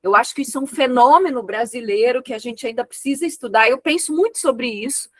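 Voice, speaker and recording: A woman with a fairly high voice, speaking a second language after growing up with an unwritten oral mother tongue, gives an examiner's frank and thoughtful critique. She often stops on short filler sounds while she works out her next point. The sound is dry and close, as on a video call. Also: fast talker, no room sound. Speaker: examiner on a master's thesis committee. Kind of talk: spoken academic feedback to a student.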